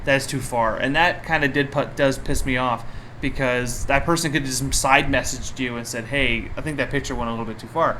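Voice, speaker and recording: A male podcast host with a mid-pitched voice, chatting casually and reacting with animation. He is from Canada. There is clear room noise behind him. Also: steady tone, brisk, bright, forceful tone, rough recording. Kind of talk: casual interview chat.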